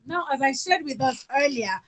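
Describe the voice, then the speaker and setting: A Nigerian woman with a Yoruba accent, presenting her country's culture and songs in an unhurried talk full of fillers. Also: high voice, animated. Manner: sings folk songs, speaks slowly and warmly with fillers.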